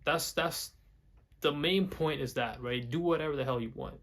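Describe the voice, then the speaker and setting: A man's voice: fairly high pitch, moderate pace, fairly bright tone. A young man, not a native English speaker, talking casually to the camera for a vlog, often repeating words and restarting his sentences. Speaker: vlogger.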